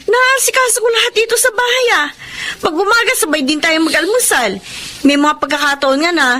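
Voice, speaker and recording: An actress playing a devoted, long-suffering young wife and daughter in a Tagalog radio melodrama. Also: female voice, very high voice, brisk, pushed and bright, a little hiss or hum. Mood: apologetic, defensive.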